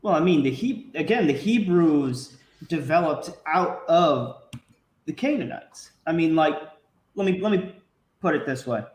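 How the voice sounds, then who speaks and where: A man's voice: on the high side, animated, a little slow. A young man talking animatedly in a casual podcast discussion over a video call, full of "like" and "I mean" fillers. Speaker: podcast panelist. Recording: roomy video call audio.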